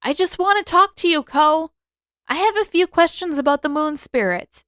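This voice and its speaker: A woman plays an earnest young teenage boy in an audiobook tale. The voice is pitched high and sounds puzzled and questioning, recorded close and dry. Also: animated, moderate pace, fairly bright tone, no echo. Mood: calling out, earnest. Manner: calling out, questioning. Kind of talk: audiobook character acting.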